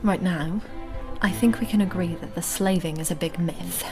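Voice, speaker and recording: A woman playing a wary, analytical adventurer in a fantasy audio drama, reasoning in a measured, formal manner. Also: on the low side, natural voice. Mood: wary, thoughtful. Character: analytical, wary female adventurer, composed and suspicious. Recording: music behind the voice. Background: music.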